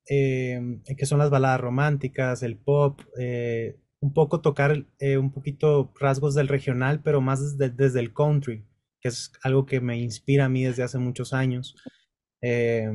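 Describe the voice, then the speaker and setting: A man answers questions over a video call. His voice is dark and mellow, and his answers are relaxed and thoughtful. The sound is dry and close, with no room echo. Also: mid-range voice. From Culiacán, Sinaloa, Mexico. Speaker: singer, interview guest. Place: dim indoor room with lamp light.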